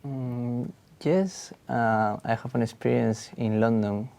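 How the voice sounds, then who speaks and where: A man's voice: medium pitch, steady tone, slow pace, creaky, mellow. A young man from Peru, giving hesitant answers full of fillers in a speaking exam. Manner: hesitant, many fillers and restarts. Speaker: exam candidate.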